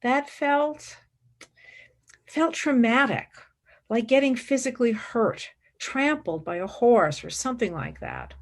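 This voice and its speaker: Woman acting an intense young intellectual, widely swinging intonation. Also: medium pitch. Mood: pained, reflective. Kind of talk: radio play acting.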